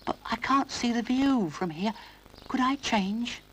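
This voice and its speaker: A man's voice: a little slow, slight background noise, rough recording. A man voicing a timid, nervous little wooden toy boy who keeps apologizing. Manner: squeaky, apologetic, wide-eyed.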